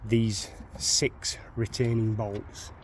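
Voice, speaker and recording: A man's voice: fairly low pitch, flat delivery, extremely slow, a little hiss or hum. A man with a Sheffield accent, walking through a van repair in a chatty, rambling way, repeating his words as he goes, filmed on a handheld phone outdoors. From Sheffield, England. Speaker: DIY van owner. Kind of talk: casual how-to walkthrough.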